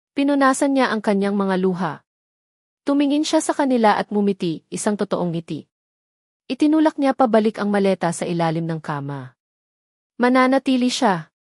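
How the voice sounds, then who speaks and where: A woman narrator with a Filipino accent delivers a scripted, dramatic story. Her voice sits at a middle pitch. The sound is close and dry, with no room around it. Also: a little mellow, no echo.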